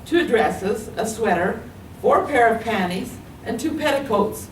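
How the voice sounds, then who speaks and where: A woman's voice: a little high, lively intonation, measured pace. An older woman with an American accent reads a personal story aloud expressively at a public reading. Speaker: writer reading own work. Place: public reading in a library room. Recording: echoey room, distant mic, faint noise.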